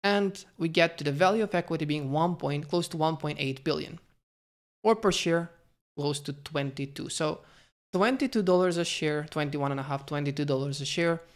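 A man's voice: fairly high pitch, normal speed. A young man hosting a finance channel, talking through a stock valuation over slides and thinking aloud with fillers, restarts and careful hedging. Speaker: finance video host.

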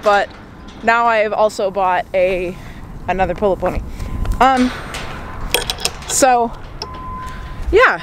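A woman's voice: medium pitch, takes it slowly, long pauses, a little hiss or hum, poor audio. A woman in her twenties with a Canadian accent, chatting casually to camera with loose, hesitant phrasing. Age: 20s. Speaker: vlogger and horse rider. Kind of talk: casual vlog chat to camera. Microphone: camera held at arm's length or set on dashboard.

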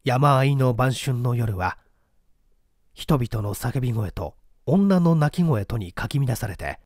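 A man's voice: medium pitch, animated, slow pace, no echo, studio quality. A man narrating a literary story as a dramatic scripted audiobook reading. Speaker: audiobook narrator, stage actor.

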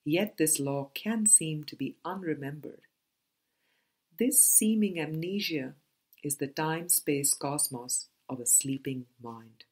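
A woman reading a spiritual book aloud as scripted narration, in a measured, deliberate manner with pauses between phrases. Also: sits low, darkish tone, husky. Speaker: audiobook reader.

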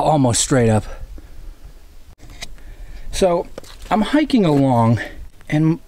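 A man's voice: medium pitch, a little mellow. A man in his forties thinking aloud with curiosity as he hikes through a forest, talking to a body-worn camera outdoors. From New England. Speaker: hiking vlogger. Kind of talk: casual walking narration to camera. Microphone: body-worn action camera.

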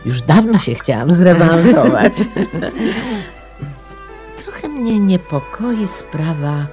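Radio play role: courteous, inquisitive woman detective, lowish animated voice. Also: extremely slow, over music, exaggerated voice. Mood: courteous, probing. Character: polite, persistent lady amateur sleuth. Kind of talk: radio drama acting.

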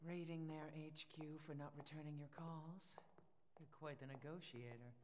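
Game role: sardonic, unimpressed woman assassin voiced by an actress, low register, echoing cutscene audio. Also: steady tone, normal speed, lightly acted. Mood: cool sarcasm. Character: cool, sardonic female assassin. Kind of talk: video game cutscene acting. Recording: reverberant, quiet game audio with noise.

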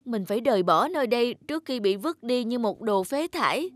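Anxious old cassette radio. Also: male voice, extremely high pitch, lively intonation, brisk. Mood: hurt. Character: anxious aging male cassette radio, devoted and apologetic. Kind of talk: dubbed cartoon dialogue.

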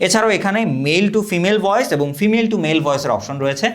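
A young man from Bangladesh giving an energetic, scripted product review and sales pitch. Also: quick pace.

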